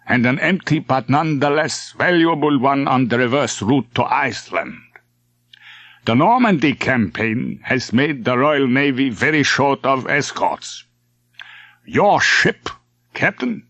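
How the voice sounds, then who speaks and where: Guy playing a grave old-school admiral, slow thick tones, pitched up a bit, weary but commanding, audiobook. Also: a bit bright, no echo. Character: weary, imposing straight-backed German senior admiral. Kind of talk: audiobook character acting.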